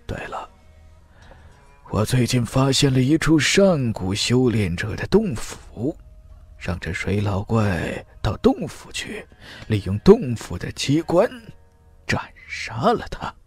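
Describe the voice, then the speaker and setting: A man voicing a scheming, wheedling old male cultivator with a croaky, drawn-out delivery for a fantasy audiobook. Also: medium pitch, very animated, no room sound, faint music behind, heavily acted. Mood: muttering scheming.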